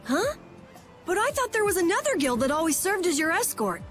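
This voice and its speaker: A woman voicing an eager, naive young boy adventurer in a video game, earnest and excitable. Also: very high voice, brisk, soft background music, heavily acted. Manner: earnest, boyish. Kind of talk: video game dub acting.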